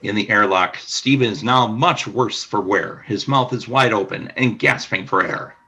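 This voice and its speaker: A man narrating a scripted radio play as storyteller, with a husky voice. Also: fairly low pitch, normal speed, pushed and bright.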